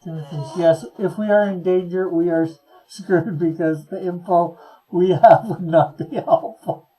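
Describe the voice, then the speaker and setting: Slow, halting man. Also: high-pitched, fairly even intonation, dull audio. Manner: halting, often chuckling. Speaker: live stream host. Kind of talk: casual chat, reading out viewer comments.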